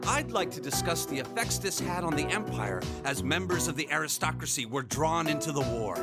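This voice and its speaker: The academy lecturer at the front of a video-game classroom, telling history with theatrical swoops over loud music. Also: male voice, a little high, expressive. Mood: engaged, didactic. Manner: measured, theatrical storytelling. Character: learned history teacher at a military academy.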